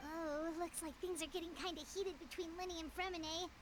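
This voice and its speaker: A woman voicing a tiny floating fairy companion, chatty and nosy, in a high childlike voice for a video game. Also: fairly even intonation, a little fast, background noise. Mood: curious. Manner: chatty, exclaiming, meddling. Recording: game audio with music behind.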